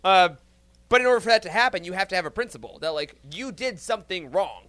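A man's voice: sits high, lively intonation, fast, fairly bright tone, dry recording. A man in a casual roundtable discussion, talking in an emphatic, informal, analytical way. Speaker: podcast panelist.

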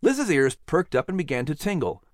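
A man narrating a children's story from a script in an expressive storytelling style. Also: on the high side, brisk, dry recording, studio quality. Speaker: storyteller and host.

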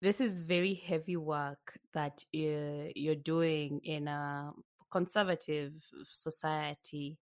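Low-voiced woman interviewer, dry sound. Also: steady tone, slow, no room sound. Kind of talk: interview questions.

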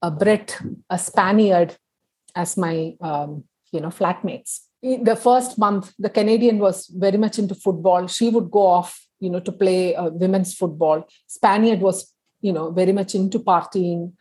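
Wanita India bersuara serak, bercerita santai. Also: female voice, on the low side, a little slow, darkish tone, slightly rough, low-quality recording. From India (Kerala). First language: Tamil. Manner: chatty, many fillers, laughs through stories. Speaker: guest. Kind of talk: casual interview chat.

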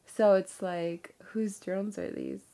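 A woman's voice: medium pitch, huge pitch swings, slow pace, dark tone. A young woman co-hosting a casual podcast, sounding nasal from a cold, chatty with lots of fillers. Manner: chatty, laughing, filler-heavy. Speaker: podcast co-host. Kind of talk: casual chat.

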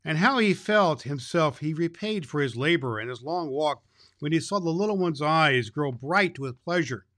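A man's voice: a little high, animated, moderate pace, fairly bright tone, no room sound. Man reading a children's storybook, very dry sound. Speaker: storyteller reading aloud as Santa Claus.

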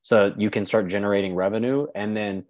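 A man's voice: fairly low pitch, fairly even intonation, a little fast, a little mellow. A man hosting a podcast, chatting in an easygoing way with enthusiastic reactions. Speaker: podcast host. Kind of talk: casual interview chat.

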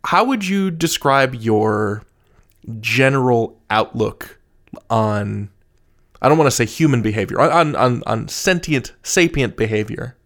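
A man's voice: medium pitch, animated, unhurried, a bit bright. A man hosting an interview, asking conversational questions and rephrasing them as he goes. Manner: searching, rephrasing as he asks. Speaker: podcast interviewer, host.

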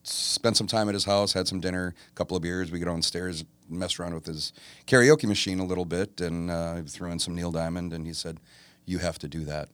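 A man in his forties, a tribute singer with a deep voice, talking as a guest in a studio in a relaxed, rambling way with many fillers. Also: a little fast, no echo. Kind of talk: casual interview chat.

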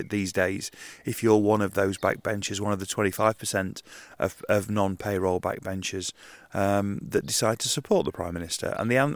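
A man hosting a casual solo podcast, speaking outdoors in a garden. He is croaky and nasal from hay fever, and rambles conversationally with frequent ums and restarts. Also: on the low side, no echo. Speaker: podcast host.

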